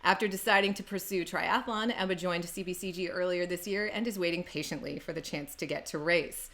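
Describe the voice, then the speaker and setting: A woman co-hosts a podcast with an upbeat manner. Her voice sits fairly low for a woman, and she laughs often. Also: a little fast, fairly bright tone. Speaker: co-host. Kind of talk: podcast interview.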